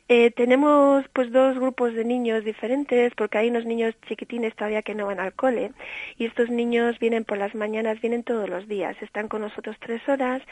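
A woman raised in Australia talks through her daily program in a patient, teacherly way, fond of small gentle words. Her voice sits a little high. The sound is close and dry, with almost no room around it. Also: no echo, low-quality recording. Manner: explanatory, warm teacherly tone with diminutives. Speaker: early stimulation center director. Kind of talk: radio interview.

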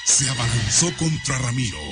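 A man with a Mexican accent narrating a horror radio drama in a solemn, dramatic, deliberate way. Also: mid-range voice, animated. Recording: loud music under the voice.

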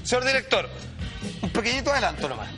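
Man, Chilean accent, very high pitch, TV presenter, emphatic, slangy, theatrical, music in background. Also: very animated, fast talker. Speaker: TV show presenter. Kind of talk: excitable commentary on a talk show.

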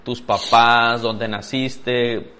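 A man's voice: medium pitch, little pitch movement, drawn-out words, sharp tone. A man hosting a casual interview in an informal, encouraging way. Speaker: podcast host.

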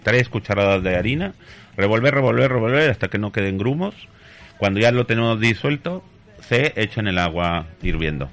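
Deep-voiced man in interview. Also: animated, fairly quick, a bit bright. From Mexico. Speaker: craftsman interviewed as guest. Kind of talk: casual explanatory interview talk.

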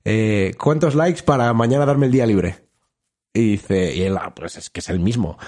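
A man's voice: fairly low pitch, moderate pace, mellow. A man with a Spain Spanish accent co-hosting a casual podcast, telling a rambling, jokey story and laughing often. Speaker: podcast co-host. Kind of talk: casual chat.

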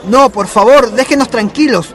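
Vain young ladies' man, as an actor plays him who stammers his way out, high-pitched, radio drama. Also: normal speed. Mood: flustered. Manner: stammering, defensive, indignant. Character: vain young womanizer Pedro, flustered liar. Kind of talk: community radio drama acting. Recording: radio drama with faint music.

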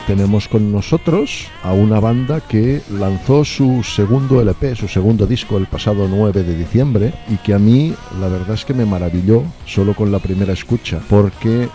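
A man hosts a radio music interview in a Spain accent. His voice is low and soft-edged, mellow in tone. Faint music plays behind him. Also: normal speed, few pauses. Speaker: music show host and interviewer. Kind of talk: radio interview with spoken introduction.

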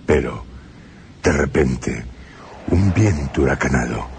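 A man hosting a radio drama program, speaking in a measured, theatrical way with long pauses. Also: extremely low pitch, expressive, vocal fry, a little mellow, a little hiss or hum.

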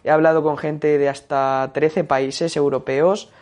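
Young man, football segment, steady delivery with fillers, monotone. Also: fairly high pitch, a little slow. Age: young adult. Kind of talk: casual radio-style football talk.

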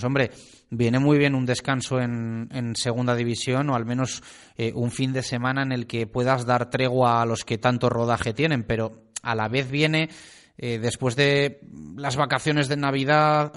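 Host for a Spanish football show, mid-pitched man, long questions with "eh" fillers. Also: clear, resonant tone. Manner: asks long questions, fills with "eh", says "ujú" while listening. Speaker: radio sports host. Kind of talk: sports radio interview.

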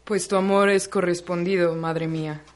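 A woman acting a devoted, gallant young man in a radio soap opera, speaking gently. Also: fairly low pitch, steady tone, moderate pace, lightly acted. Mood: affectionate. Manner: gentle, courtly, affectionate. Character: devoted, gallant young son, a medical student.

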